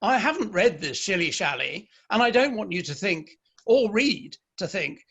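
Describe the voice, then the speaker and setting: A man's voice: high voice, very animated, moderate pace, fairly bright tone, breathy edge, exaggerated voice. A man acting a stern, proud Victorian father and novelist in a play reading, with formal, emphatic speech. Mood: indignant, blustering.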